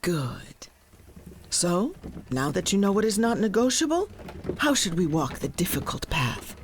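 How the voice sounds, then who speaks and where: An actress playing a wise, teasing old village grandmother in an audio drama, with a folksy, unhurried way of talking. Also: fairly low pitch, big pitch swings, background noise. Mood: probing, patient. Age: older. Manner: folksy, unhurried, gently instructive.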